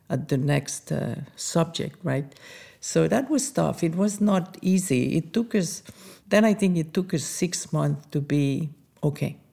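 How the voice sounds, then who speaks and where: Middle-aged woman, creaky voice, soft warm tone, conversational interview storytelling. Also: low voice. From Andorra. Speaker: interviewee, biotech entrepreneur.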